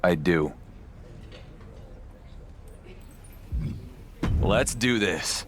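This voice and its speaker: A man's voice: low-pitched, lively intonation, slow pace, heavy background noise. A man playing a cool, defiant wrestling champion with a dry, sardonic, confident delivery. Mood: determined. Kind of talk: video game cutscene acting.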